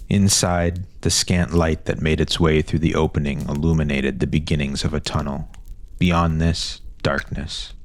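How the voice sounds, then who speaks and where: Very deep-voiced male storyteller. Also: no room sound. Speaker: storyteller narrator. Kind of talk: scripted fantasy story narration.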